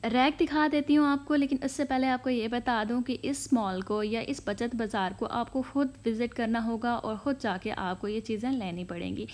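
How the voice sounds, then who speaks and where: Woman, slightly high voice, chatty store walkthrough, phone-recorded indoors. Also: normal speed, few pauses, darkish tone. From Pakistan. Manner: chatty, repeats filler phrases, lists prices. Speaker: shopping vlogger. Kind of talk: casual walkthrough narration.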